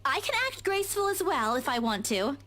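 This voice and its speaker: A woman's voice: high-pitched, sing-song, brisk, a bit bright. An actress playing a spirited, easily flustered young woman in a visual novel game. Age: young adult.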